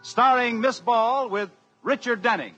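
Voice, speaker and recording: A man's voice: extremely high pitch, a little slow, lo-fi sound. A man announcing a 1940s radio comedy in a formal, polished style. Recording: old radio broadcast over music.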